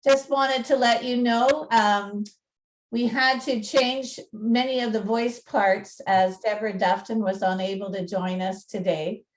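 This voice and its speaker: Female presenter-narrator: slightly high register, very slow, deliberate storytelling delivery. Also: fairly even intonation, rough recording.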